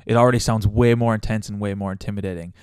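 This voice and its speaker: A man's voice: lowish voice, steady tone, fast, a little mellow, smooth, no room sound, studio quality. A young man hosting a casual step-by-step tutorial as a voice-over. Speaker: tutorial host.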